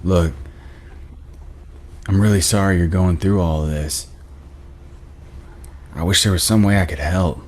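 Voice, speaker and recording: A man acting a soft-hearted, concerned small-town cop in a naturalistic screenplay read, earnest and familiar. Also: low-pitched, fairly even intonation, a little slow, pauses often. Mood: tender, concerned. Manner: earnest, gently cajoling, plain-spoken.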